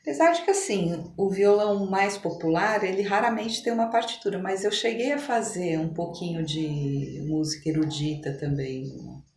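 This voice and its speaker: A mature Brazilian woman chatting as a podcast guest, telling stories in an animated but halting way that circles back on phrases. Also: on the low side. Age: middle-aged or older. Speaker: podcast guest, doctor and amateur musician. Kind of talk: casual conversational storytelling in an interview. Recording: echoey room with some background noise.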